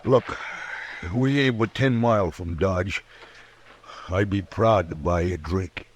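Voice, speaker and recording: An actor playing a grateful frontier drifter with an American accent and rustic, earnest phrasing in a radio western. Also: male voice, low voice, slow pace. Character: grateful, tall frontier drifter just saved from hanging. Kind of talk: old time radio western acting. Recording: noisy vintage radio drama recording.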